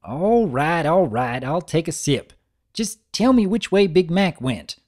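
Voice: mid-range voice, very animated, unhurried, a little mellow, voice pushed up. A narrator voicing a blunt, impatient young country mare from a cartoon.

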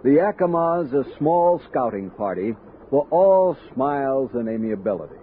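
An actor playing a steady frontier expedition captain, telling his story in measured 1960s radio drama style. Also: mid-range voice, a little hiss or hum, natural voice. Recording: muffled 1960s radio recording with music.

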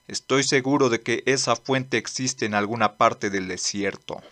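A man playing a blunt, earnest old lawman in a manga dub, speaking formally and deliberately. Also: medium pitch, a bit bright, lightly acted. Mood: earnest, firm. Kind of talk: dramatic dubbing.

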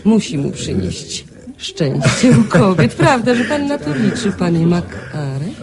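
A woman's voice: medium pitch, unhurried. An actress in a radio play playing a playful, flirtatious society lady with a teasing manner. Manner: teasing, coquettish. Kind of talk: radio drama acting. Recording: lively crowd behind the voice.

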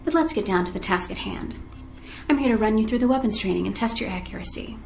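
A woman acts as a young female weapons trainer in a video game cutscene. She is dry and wry, with a gravelly edge to her voice. The sound is slightly roomy, with music underneath. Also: medium pitch, fast talker, darkish tone, lightly acted. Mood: cool, businesslike. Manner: dry, unimpressed. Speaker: weapons instructor.

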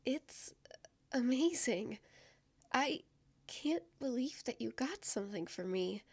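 A woman acts out a gentle, anxious young winged woman from a fantasy audio drama. Her voice is high and soft. She speaks slowly, stammering and self-effacing. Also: animated, breathy edge, no echo, full character voice. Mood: touched, bashful. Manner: hesitant, stammering, soft-spoken.

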